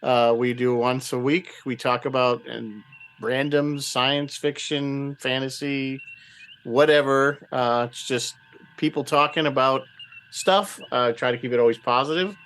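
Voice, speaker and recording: A man's voice: mid-range voice, steady tone, measured pace, a bit bright, clean tone. A man chatting casually as a podcaster guest, in a loose, offhand way. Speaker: podcast creator and host, guest. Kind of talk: casual chat.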